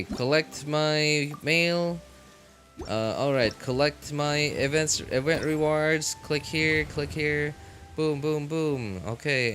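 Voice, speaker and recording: A man's voice: mid-range voice, a bit bright. Casual male streamer, music playing behind. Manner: rambling, repeats phrases, slangy. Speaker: game live streamer. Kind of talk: casual livestream chat. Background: background music.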